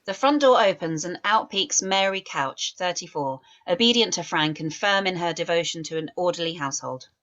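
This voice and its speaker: Woman narrator, slightly low pitch, measured, descriptive, reading script directions, table read. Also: lively intonation, a bit bright, lo-fi sound. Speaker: reader of stage directions.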